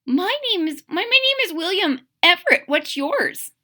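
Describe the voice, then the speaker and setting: A woman's voice: very high voice, sing-song, normal speed, pushed and bright, pitched above the performer's own voice, exaggerated voice. A woman voicing a sweet, eager young boy crocodile in a storybook read-aloud, earnest and childlike. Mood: shy wonder.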